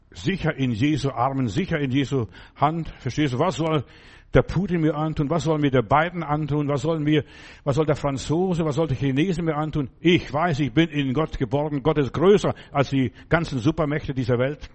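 A man's voice: a little high, a little mellow. Fervent male preacher, non-native German. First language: not German. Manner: fervent, emphatic, repeats phrases. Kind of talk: sermon.

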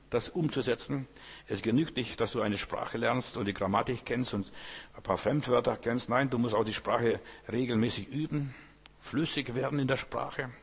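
A man's voice: medium pitch, gravelly, breathy edge, long reverb tail. A man from Lithuania, whose first language is Lithuanian, preaching an informal sermon in a chatty way and often asking his listeners whether they follow. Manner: conversational, keeps asking "verstehst du?".

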